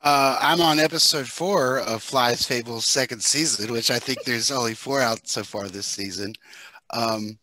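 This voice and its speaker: A man in his fifties chatting informally on a panel through a headset, in a halting way. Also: mid-range voice, sharp tone, no room sound. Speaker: audio drama creator, panel guest. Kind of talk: casual panel talk. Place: living room indoors, video call. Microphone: headset with boom mic.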